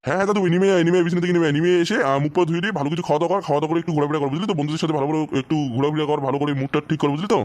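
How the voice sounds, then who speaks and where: A man's voice: on the high side, steady tone, very fast, few pauses, a little mellow, lightly acted. A man voicing a bossy but indulgent old grandfather in an amateur comic cartoon, coaxing in a repetitive, folksy way.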